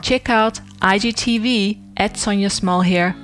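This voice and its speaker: A woman hosts a podcast for artists, moving from a read introduction into friendly, encouraging questions. Her voice sits at an ordinary middle pitch. Soft music plays faintly underneath. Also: a little slow. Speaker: podcast host. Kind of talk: scripted intro then interview questions.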